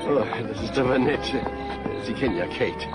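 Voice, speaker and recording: A man's voice: mid-range voice, lively intonation, moderate pace, over loud music, close to an everyday voice. An actor playing a fussy, earnest suburban father in a sitcom audio drama, easily flustered and hesitant. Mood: polite, flustered.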